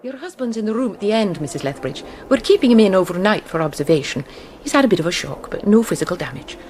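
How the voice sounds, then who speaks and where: An actress playing a brisk, businesslike hospital sister in a radio play. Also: female voice, medium pitch, lively intonation, a little mellow, a little hiss or hum, close to an everyday voice. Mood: brisk, reassuring. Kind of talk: British radio play acting.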